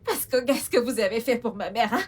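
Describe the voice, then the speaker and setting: High-voiced humble young housemaid. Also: female voice, animated, fairly quick, breathy edge. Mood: warm, respectful. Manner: earnest, effusively grateful. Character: humble, tearful young household employee, devoted daughter. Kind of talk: melodramatic film acting. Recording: music under the voice.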